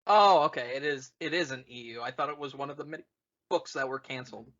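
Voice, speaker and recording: A man's voice: mid-range voice, fast, a bit bright, dull audio. A man co-hosting a casual podcast chat in a jokey, easily amused manner. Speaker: podcast co-host.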